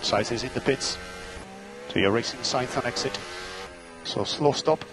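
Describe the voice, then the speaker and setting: A male race engineer speaks over team radio in a mid-pitched voice, with music running behind him. His delivery is terse and matter-of-fact, made of short updates and repeated instructions. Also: a little slow.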